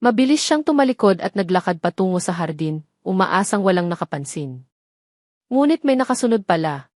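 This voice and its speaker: A woman reads a scripted romance as a dramatic storyteller. Her voice sits at a middle pitch. The sound is close and dry. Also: very animated, fairly quick, a little mellow, no echo.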